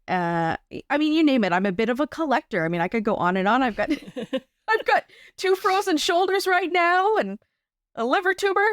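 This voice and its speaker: A woman's voice: medium pitch, no echo, studio quality. A woman giving a candid, wry, conversational personal account as a guest. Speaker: podcast guest.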